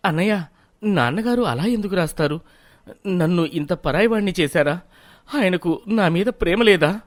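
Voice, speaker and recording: Man playing a humble, soft younger brother. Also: sits high, animated, moderate pace, no echo, clean studio sound. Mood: innocent, hurt.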